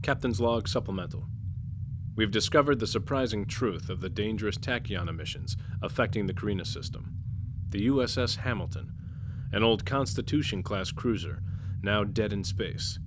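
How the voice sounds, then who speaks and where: A man reading a scripted, dramatic science fiction introduction in a measured, solemn manner. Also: lowish voice, background noise. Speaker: podcast narrator and player. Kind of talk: scripted dramatic sci-fi narration.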